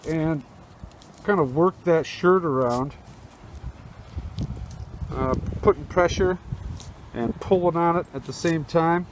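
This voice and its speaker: A man's voice: a little high, darkish tone. A man giving a casual, easygoing step-by-step tutorial through a face mask, recorded outdoors on a rooftop. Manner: informal, easygoing step-by-step talk. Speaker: host demonstrating a how-to restoration. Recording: noisy outdoor camera audio.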